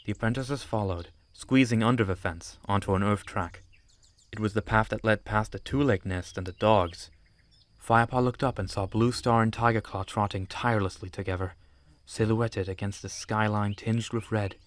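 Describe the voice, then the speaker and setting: A man's voice: on the low side, steady tone, normal speed. A man narrating an audiobook story from a script.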